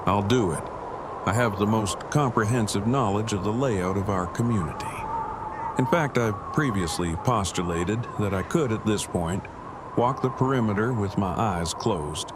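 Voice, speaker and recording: An actor playing an earnest, wordy survivor who speaks in stiff, over-precise formal language in an audio drama. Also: male voice, on the low side, moderate pace, natural voice. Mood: resolute. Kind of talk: fan audio drama acting. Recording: loud outdoor storm noise.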